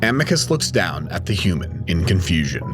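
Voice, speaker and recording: Low-voiced man narrating over music. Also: sing-song, normal speed. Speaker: narrator reading a visual novel aloud. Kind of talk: dramatic scripted narration.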